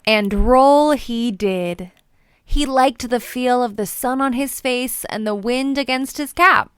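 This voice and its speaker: A woman's voice: fairly high pitch, slow, clean tone, no echo, studio quality. A woman narrating a children's storybook expressively, with playful, dramatic emphasis. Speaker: children's book read-aloud presenter.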